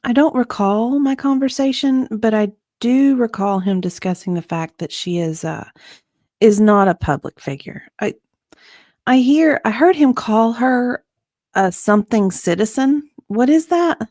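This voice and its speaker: A woman's voice: on the high side, animated, darkish tone, no echo, studio quality. Woman reading as a hesitant female deposition witness. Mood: hesitant, groping. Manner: halting, hesitant, trailing off. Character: hesitant, forgetful female witness being questioned in a legal deposition. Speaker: reader in a dramatic reading. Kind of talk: dramatic reading of deposition testimony.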